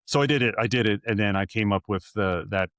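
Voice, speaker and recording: Man, 40s, low voice, dry recording, chatty guest who loops back and self-corrects. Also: quick pace, fairly bright tone, dry recording. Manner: rambling, self-correcting, chuckling. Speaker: arena PA announcer, podcast guest. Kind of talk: casual interview chat.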